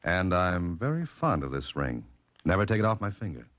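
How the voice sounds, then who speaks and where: An actor playing a wry, brisk private detective in 1940s radio drama acting style. He cracks dry jokes and gives clipped orders. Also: deep voice, sing-song, a bit bright, dry recording, dull audio. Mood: instructive.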